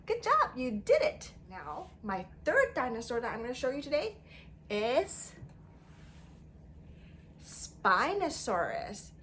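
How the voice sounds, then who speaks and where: A woman's voice: high-pitched, huge pitch swings, slow, pauses often, a bit bright, slight background noise. A woman leading an interactive storytime lesson for young children, speaking in an exaggerated sing-song way with prompting questions and repetition.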